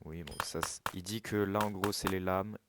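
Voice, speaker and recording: A man's voice: sits low, steady tone, moderate pace, heavy background noise. A young man casually commenting while playing a video game, chatty, self-mocking and swearing freely. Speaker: gaming YouTuber. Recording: loud game music behind.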